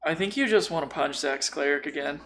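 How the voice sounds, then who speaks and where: A man's voice: a little high, brisk, fairly bright tone. A man chatting casually as a podcast co-host and dungeon master, blunt and given to swearing. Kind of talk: casual chat about tabletop role-playing. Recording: faint background noise.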